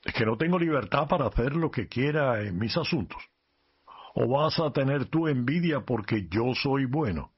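A man who is a priest, reading a gospel passage and explaining it in a calm, measured way on a radio program. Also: mid-range voice, breathy edge, dull audio.

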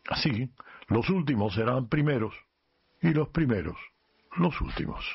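A man who is a priest, reading a gospel passage and explaining it in a calm, measured way on a radio program. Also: mid-range voice, creaky, highs cut off, slight background noise.

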